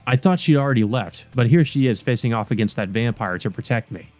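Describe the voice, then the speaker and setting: A man with a mid-pitched voice narrates a visual novel as a let's play. He moves between reading the text and casual commentary, with game music behind him. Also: animated, fairly quick, darkish tone.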